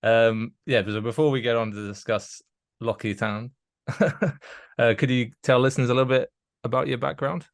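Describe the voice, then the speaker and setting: A man hosting a casual podcast interview, putting his questions in a tentative, halting way. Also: medium pitch, fairly even intonation, dry recording, clean studio sound.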